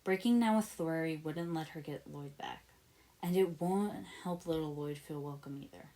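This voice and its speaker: Low-pitched woman narrating a story. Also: normal speed, warm tone. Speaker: amateur audiobook narrator of fan fiction. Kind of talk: expressive scripted story narration.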